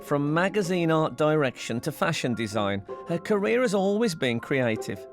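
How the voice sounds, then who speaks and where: Male presenter, teasing and playful, slightly high, very animated, music underneath. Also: normal speed. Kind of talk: scripted narration and jokey banter.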